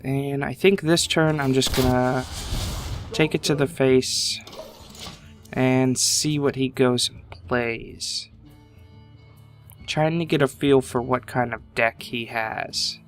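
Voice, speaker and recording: A man commentating his card game match casually, thinking aloud at an unhurried pace, his voice a little congested from a sinus infection. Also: mid-range voice, steady tone, soft background music.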